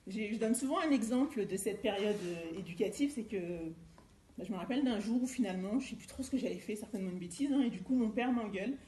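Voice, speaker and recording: A woman's voice: medium pitch, animated, quick pace, warm tone. A middle-aged woman from France gives a personal presentation at a seminar in a conversational, storytelling manner. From France, Eure-et-Loir. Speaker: life coach and former youth educator. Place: indoor seminar room. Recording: roomy echo with faint background noise.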